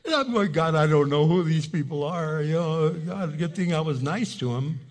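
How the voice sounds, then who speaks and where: A male pastor whose first language was Spanish, preaching a sermon in a storytelling way that jumps into loud, high bursts. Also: normal speed.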